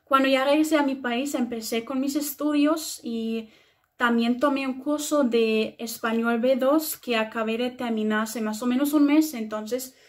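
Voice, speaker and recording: A woman's voice: on the high side, fairly even intonation, a little slow. A 21-year-old woman speaking Spanish enthusiastically. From Germany. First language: German. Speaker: interviewee.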